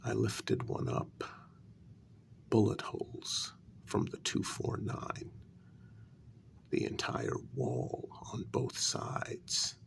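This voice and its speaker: A man playing a wounded, quietly menacing human who confronts a vampire queen in a soft-spoken ASMR role-play, with a breathy, gravelly half-whisper and deliberate pauses. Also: fairly low pitch, wildly swooping pitch, exaggerated voice. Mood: grave, confiding. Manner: slow, deliberate, pausing between phrases.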